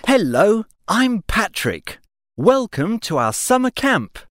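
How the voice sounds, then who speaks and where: A woman voicing a welcoming young boy at camp in a scripted textbook dialogue, with a clear, very slow, careful delivery. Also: mid-range voice, big pitch swings, no room sound, studio quality.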